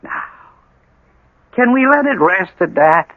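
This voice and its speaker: Animated, evasive, argumentative husband. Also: male voice, high-pitched, slow pace, no room sound, full character voice. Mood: defensive, insistent. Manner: emphatic rhetorical questioning. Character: evasive, self-justifying husband, coolly argumentative. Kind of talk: radio drama acting. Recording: muffled, slightly noisy old radio recording.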